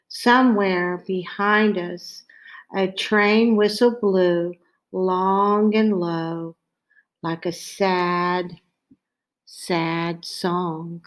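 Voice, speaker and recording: Very slow-reading woman storyteller. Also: medium pitch. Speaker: reader of a children's picture book. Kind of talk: read-aloud storytelling, slow and deliberate.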